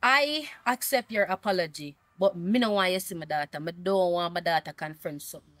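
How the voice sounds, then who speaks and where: A woman's voice: on the low side, fairly quick, a bit bright, no room sound, natural voice. A woman playing an indignant mother. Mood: defiant. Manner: indignant, scolding. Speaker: mother of a pregnant daughter.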